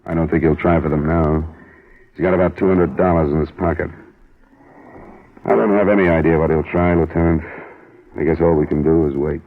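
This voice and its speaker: Deep-voiced hard-boiled American radio detective. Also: male voice, fairly even intonation, fairly quick, long pauses. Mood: resigned. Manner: clipped, pressing, matter-of-fact. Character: terse, hard-boiled male investigator, blunt and world-weary. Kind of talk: old-time radio detective acting and narration. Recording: tinny old radio recording, music.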